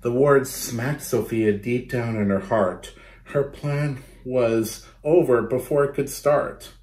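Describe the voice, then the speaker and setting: A man in his thirties reading a picture book aloud for children, expressively, pausing to explain and ask questions. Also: mid-range voice, darkish tone. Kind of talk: children's storytime read-aloud with asides and questions to young listeners. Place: small bedroom indoors.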